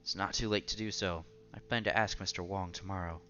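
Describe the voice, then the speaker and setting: A man's voice: low voice, steady tone, a little fast, sharp tone, dull audio. A man reading a visual novel aloud in a casual let's-play style, sometimes stumbling over words and repeating them. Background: game music.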